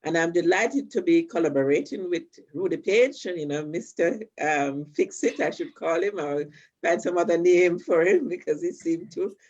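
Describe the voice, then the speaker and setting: A woman's voice: on the low side, moderate pace, a little mellow, highs cut off. An older woman from Jamaica with a Jamaican accent, giving upbeat, chatty closing remarks at an online community event, with frequent fillers.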